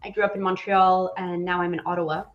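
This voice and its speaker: A woman in her forties from Poland talks in a lively, chatty way as an interview guest. Her voice sits at an ordinary pitch, and the sound is roomy and a little muffled. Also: fast talker. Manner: chatty and animated, with laughs and many fillers. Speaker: animator guest. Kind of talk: casual interview chat.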